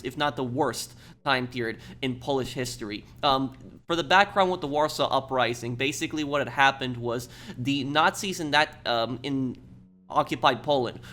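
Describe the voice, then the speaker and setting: A man's voice: mid-range voice, a bit bright. A man from the United States speaking casually and earnestly as a gaming YouTuber, explaining things as he introduces a playthrough. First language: English.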